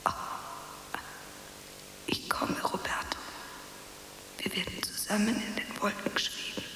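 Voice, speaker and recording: An actress playing a frail, self-pitying young woman in a breathy, theatrical radio play manner. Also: slow, pushed and bright, echoey room, whispered, big-room sound, poor audio. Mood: dreamy, tragic. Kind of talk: German radio play acting.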